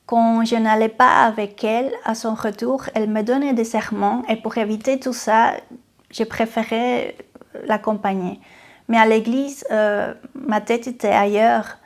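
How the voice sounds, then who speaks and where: A woman's voice: fairly high pitch, steady tone. Spanish-accented woman giving a testimony. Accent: Venezuelan Spanish. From Venezuela. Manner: earnest, unhurried, with frequent hesitations and restarts. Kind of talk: personal testimony to camera. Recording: faint music behind the voice.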